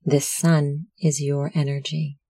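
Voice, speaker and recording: Slow, mellow, softly creaky meditation guide. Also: mid-range voice, level tone, dry recording. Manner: measured, soothing instructional delivery.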